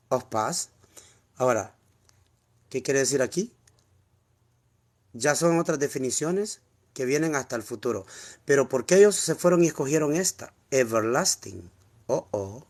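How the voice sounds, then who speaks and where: A man with a Honduran Spanish accent walks listeners through scripture in a casual teaching tone. His voice sits at a middle pitch. He keeps repeating key words and asking rhetorical questions as he explains, sometimes drifting away from the mic. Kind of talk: informal Bible teaching, screen walkthrough.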